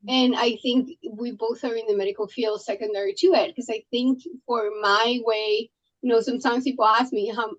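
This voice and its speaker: Woman, from Colombia, middle-aged, rambling storyteller, slightly high voice, muffled recording. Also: fairly bright tone. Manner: rambling, many restarts and fillers. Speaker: trauma surgeon guest. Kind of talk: casual interview storytelling. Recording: dull lo-fi audio.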